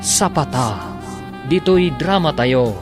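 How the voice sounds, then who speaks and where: A man's voice: fairly high pitch, animated, slow, music in the background. A man narrating and announcing a scripted radio drama serial.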